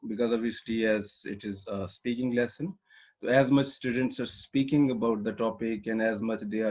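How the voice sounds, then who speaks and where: A man's voice: mid-range voice, a little mellow, lo-fi sound. A man from Pakistan teaching an English lesson online, explaining step by step with many fillers and restarts. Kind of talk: online lecture.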